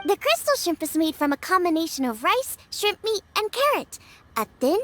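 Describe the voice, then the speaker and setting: A woman's voice: very high voice, sharp tone, full character voice. An actress voicing a young female chef who is upbeat, eager and encouraging, with a bubbly manner. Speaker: chef, contestant.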